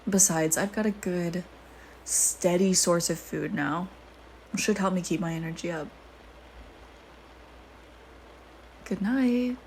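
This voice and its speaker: A woman's voice: on the low side, unhurried, a little mellow. Teasing vampire girl, acted by a woman, noisy background. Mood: tired, soft. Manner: sardonic, teasing, casual murmuring with sighs and whispered asides. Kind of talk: intimate audio roleplay addressed to the listener.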